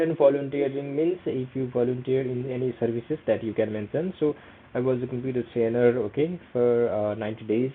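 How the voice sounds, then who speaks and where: A young man with a Nepali accent, a native Nepali speaker, walking through a screen-share tutorial in hesitant English full of fillers and repeated "okay". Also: medium pitch. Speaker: vlogger. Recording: tinny phone-like audio.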